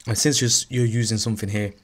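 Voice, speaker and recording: A male teacher narrating a screen-recorded lesson, a little hoarse as he is losing his voice, talking through each step with fillers and restarts. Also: lowish voice, fast. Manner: relaxed, thinking aloud with fillers and restarts.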